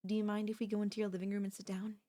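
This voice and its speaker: A woman's voice: medium pitch, little pitch movement, rapid-fire, dark tone, natural voice. A woman playing an obsessive, doting yandere stalker in an ASMR audio roleplay, speaking in a coaxing, theatrical way. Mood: concerned, explaining. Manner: theatrical, coaxing, addresses listener as darling. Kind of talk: ASMR audio roleplay, girlfriend-style monologue.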